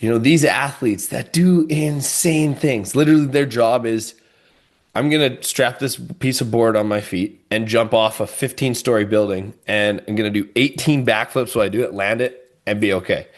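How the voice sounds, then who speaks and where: A man's voice: mid-range voice, normal speed. A man co-hosting a podcast, talking in an emphatic, expansive way and thinking aloud as he goes. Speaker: podcast co-host. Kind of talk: casual podcast chat.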